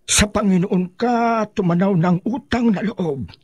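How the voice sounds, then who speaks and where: A pious old grandfatherly teacher in a radio drama. His voice is extremely high for a man, earnest and insistent, recorded dry and close. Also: unhurried, a little mellow, husky, no echo, highs cut off. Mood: earnest, instructive. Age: older. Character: devout, patient old male mentor, insistent.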